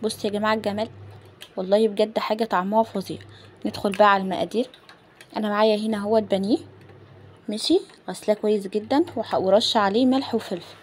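Fast-talking Egyptian woman, noisy recording. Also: medium pitch, steady tone, darkish tone. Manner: chatty, informal, addressing viewers directly. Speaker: home cook presenting a recipe. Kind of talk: casual cooking tutorial narration. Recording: phone recording with clear background noise.

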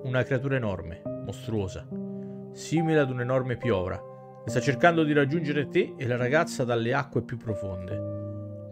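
Male game streamer, thirties, theatrical narrative reading, mid pitch, clear musical backing. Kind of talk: dramatic reading aloud of a visual novel with asides to chat.

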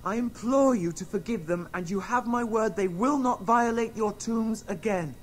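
A man's voice: high voice, moderate pace. An actor playing a brave, earnest, courteous young boy adventurer in a radio drama. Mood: courteous, pleading.

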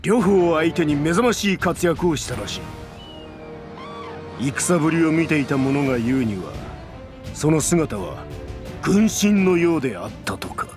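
Male game role: polite young soldier giving reports, expressive pitch, music underneath. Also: unhurried, pauses often. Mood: earnest, impressed. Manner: admiring, formal reporting. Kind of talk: Japanese video game cutscene acting.

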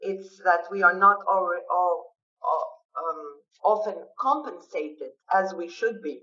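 A woman's voice: on the low side, measured pace, sharp tone. A middle-aged woman from the United States, a songwriter speaking thoughtfully and hesitantly in a video call interview from her living room, laughing often. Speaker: songwriter guest. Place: bright living room at home. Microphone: laptop webcam on video call. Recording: dull lo-fi video call audio.

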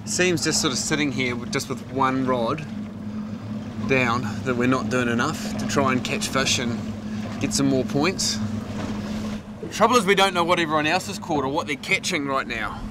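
A man's voice: medium pitch, normal speed, very noisy. A man hosting a fishing show from a boat at sea, chatty and jokey, playfully dramatic, recorded on a lapel mic. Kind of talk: casual on-camera narration. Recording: music bed underneath.

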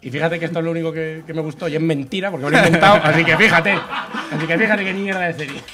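Quick sarcastic co-host: man, thirties, a bit high, rapid-fire delivery. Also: fairly even intonation, bright, forceful tone. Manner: fast, sarcastic, crude jokes. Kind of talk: comedic podcast banter. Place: small dark bar stage with live audience. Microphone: desk mic on boom arm.

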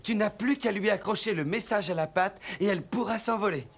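Kindly little dwarf, raspy husky voice, matter of fact, kids cartoon. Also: mid-range voice, brisk. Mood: assured. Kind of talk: children's cartoon dubbing.

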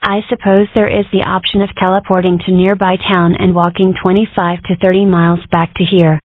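A woman voicing a weary, dry-witted female rabbit in charge, deadpan and sardonic, in a cartoon comic dub. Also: on the low side, brisk, no echo, narrow, tinny audio, close to an everyday voice. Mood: weary, explaining. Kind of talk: comic dub character acting.

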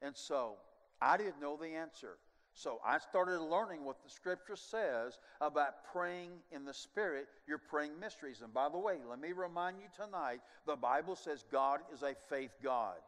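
An older man preaching a sermon as pastor on a large church stage, animated and moving, dropping at times to quiet asides. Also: fairly high pitch, moderate pace. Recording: long church hall reverb.

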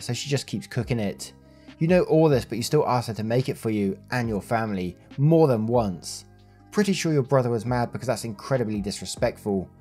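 A man reading Reddit posts aloud in a steady, plain way and adding casual remarks to his listeners. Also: fairly low pitch. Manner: plain, steady reading with brief laughs and chatty sign-offs. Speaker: Reddit story narrator.

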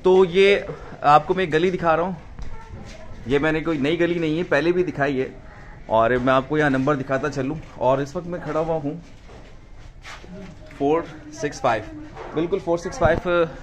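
A man reports from a residential lane for his vlog. His voice sits slightly high, and he asks questions in a calm, even way. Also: normal speed, long pauses. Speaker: vlogger reporter.

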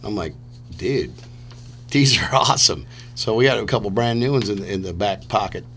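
A man's voice: fairly low pitch, normal speed, a bit bright, slight background noise. A middle-aged man chatting casually and enthusiastically, telling rambling stories as a musician guest on a radio show. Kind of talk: casual chat. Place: small radio studio.

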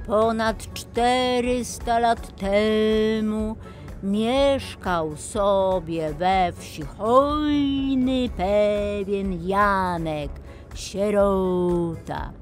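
A woman's voice: medium pitch, lively intonation, extremely slow, faint music behind. A woman voicing a dreamy toy digger who tells history like an old fairy tale for children. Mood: dreamy storytelling. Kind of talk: children's audio tale acting.

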